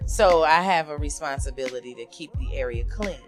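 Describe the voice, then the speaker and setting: A woman's voice: low voice, a little fast. An American woman chatting casually and encouragingly as a podcast co-host. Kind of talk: casual chat. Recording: background music under speech. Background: background music.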